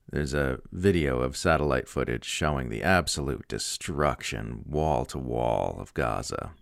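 A man's voice: deep voice, dry recording, studio quality. A man reading a written political commentary aloud as scripted narration. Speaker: essay reader.

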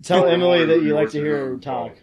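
A man's voice: a little high, fast talker, a crowd in the background. A man co-hosting a podcast with casual, wisecracking banter.

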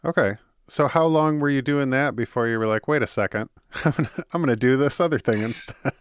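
A man hosting a podcast, talking in a chatty, animated way with plenty of fillers as he interviews a guest. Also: medium pitch, a little fast, smooth. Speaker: podcast host. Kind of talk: casual interview.

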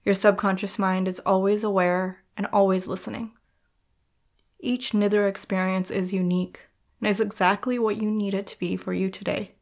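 Female yoga nidra guide, mid-pitched voice, soothing and measured, with gentle pauses. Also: flat delivery. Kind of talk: guided meditation narration.

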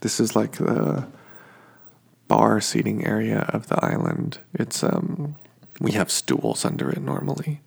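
A sniffly man hosts a sleep podcast, talking very slowly and drifting from one detail to the next with lots of halting fillers. His low voice has heavy gravelly fry and a husky edge. He is speaking from his home kitchen. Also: sing-song. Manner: meandering, halting, many fillers. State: sniffly from allergies. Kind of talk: slow rambling description for sleep.

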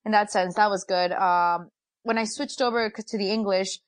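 A woman sports anchor, a guest in a casual interview, who talks fast and animatedly and laughs often. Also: medium pitch, fairly even intonation, no room sound.

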